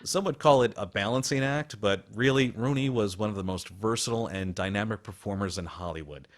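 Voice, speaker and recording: Male radio host, lowish voice, polished, scripted narration, presenterly. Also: fairly quick.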